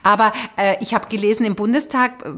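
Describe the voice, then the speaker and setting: A woman's voice: mid-range voice, steady tone, quick pace, a bit bright. A middle-aged woman hosting a podcast in casual conversation, often searching for words and trailing off. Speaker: podcast host.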